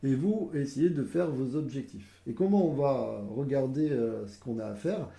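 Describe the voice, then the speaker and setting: A man's voice: medium pitch, normal speed, creaky, dark tone, roomy sound. Stuffy-voiced man explaining a board game. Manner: hesitant, many fillers, explains step by step. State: has a cold. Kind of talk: casual game explanation to camera.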